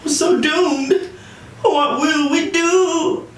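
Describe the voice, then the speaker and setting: A woman's voice: very high voice, very slow, pitched above the performer's own voice, exaggerated voice. Woman voicing dramatic storybook king's men, echoey. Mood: grim, doomed. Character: panicky, dramatic king's men of a nursery rhyme. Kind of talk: children's bedtime storybook reading. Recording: roomy, distant, faint noise.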